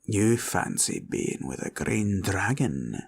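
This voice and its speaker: A man playing a proud, cunning green dragon in a villain roleplay audio, with a taunting drawl and a gravelly growl. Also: on the low side, very animated, takes it slowly, exaggerated voice. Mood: amused, scornful. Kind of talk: roleplay ASMR villain monologue.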